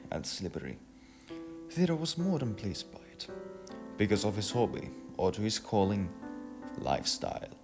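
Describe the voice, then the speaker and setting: A man narrating a scripted story dramatically, in a halting delivery with stumbles and repeated phrases. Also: fairly low pitch, vocal fry. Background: music.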